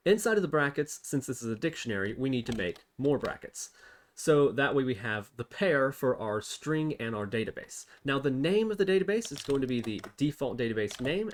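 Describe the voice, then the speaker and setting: A male tutorial host with a mid-range voice walks through code in an unscripted way. He reasons out loud one step at a time as he types, speaking as an instructor to people following along. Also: mid-range voice. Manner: thinks aloud step by step while typing. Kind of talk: unscripted screen-recorded coding tutorial.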